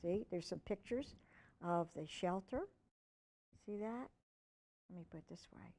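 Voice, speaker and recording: A woman's voice: lowish voice, unhurried, darkish tone. A woman reading a children's book aloud expressively, with chatty asides to young listeners and a pet. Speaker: children's storytime reader.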